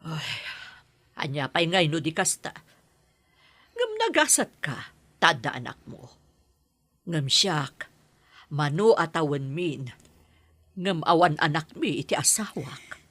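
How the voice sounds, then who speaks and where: A kindly married landlady with an Ilocano accent. Her voice is deep for a woman and husky, with lively rises and falls. It is radio drama acting, recorded dry. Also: takes it slowly, long pauses, no room sound, exaggerated voice. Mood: wistful. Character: kindly childless married landlady longing for a baby.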